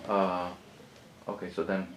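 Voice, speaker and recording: Male interviewer, lowish voice, encouraging, noisy echoey room. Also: little pitch movement, a little slow. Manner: encouraging, frequent murmured acknowledgements. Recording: echoey room with background noise.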